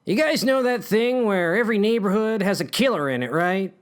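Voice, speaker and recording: A man's voice: high voice, expressive, a little fast, clean tone. A man voicing a smug, menacing older brother in a comic story, theatrical and conspiratorial. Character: menacing, smug bully older brother telling dark hunches.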